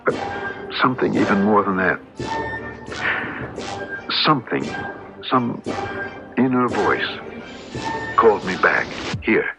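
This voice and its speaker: A man's voice: fairly low pitch, big pitch swings, slow, pauses often, heavily acted. An actor playing a haunted, melancholy man recovering from illness and telling his tale in brooding, literary phrases, in old-time radio style. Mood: troubled, weary. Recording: muffled old radio recording, music behind.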